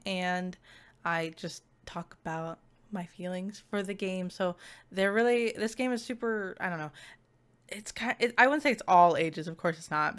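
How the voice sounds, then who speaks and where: A woman's voice: lowish voice, a bit bright, clear, resonant tone. A woman giving a casual, unscripted game review as a VTuber, rambling and giggly as she interrupts herself.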